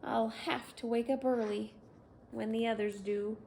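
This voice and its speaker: A woman's voice: a little high, steady tone, measured pace, creaky, darkish tone, a little hiss or hum. Woman as a grumbly, sleepy storybook pig. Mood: resigned. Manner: grumbly, indignant.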